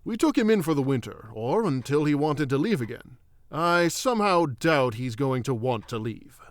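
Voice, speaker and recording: A man playing a thoughtful druid royal and father in a fantasy audio drama, speaking formally and in measured phrases. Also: medium pitch, sing-song, dry recording, close to an everyday voice. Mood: uneasy, guarded.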